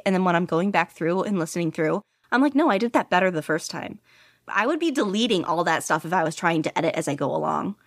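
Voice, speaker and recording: A woman's voice: fairly low pitch, no echo, studio quality. A woman chatting casually as an interview guest, rambling along in a self-deprecating way. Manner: animated self-deprecating rambling, quick to laugh at herself. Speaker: podfic narrator being interviewed.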